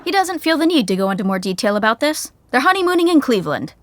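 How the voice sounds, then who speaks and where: A woman's voice: high voice, big pitch swings, fast talker, fairly bright tone, slight background noise. A woman narrating a scripted audio sitcom as a wry storyteller who comments on the action. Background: music.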